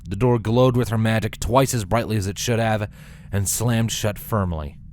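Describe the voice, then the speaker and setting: A man narrating a scripted story with a dramatic, expressive delivery. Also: fairly low pitch, normal speed, a little hiss or hum.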